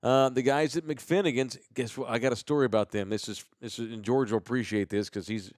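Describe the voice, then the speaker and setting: An American man hosting a podcast with casual banter and sponsor shout-outs, talking in a slangy, rambling, profane way. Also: mid-range voice, fairly even intonation, a little fast, dry recording, clean studio sound. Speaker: host of a live podcast.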